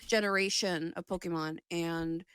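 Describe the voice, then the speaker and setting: A woman's voice: fairly low pitch, steady tone, normal speed, a bit bright, clean tone, no echo. A woman co-hosting a casual gaming chat show, talking in a rambling, sweary way and often interrupting herself.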